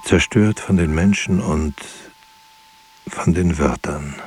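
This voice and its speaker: Very deep, slow, solemn writer. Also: male voice, over music. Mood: solemn, meditative. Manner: measured, solemn. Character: solemn writer meditating on his own book. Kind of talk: literary, meditative radio drama narration.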